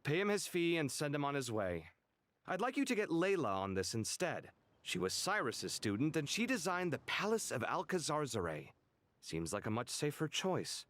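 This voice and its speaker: Animated, highish young merchant lord. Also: male voice, normal speed. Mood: businesslike. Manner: articulate, expressive, businesslike. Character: principled, generous, cultured young merchant lord. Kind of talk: video game voice acting.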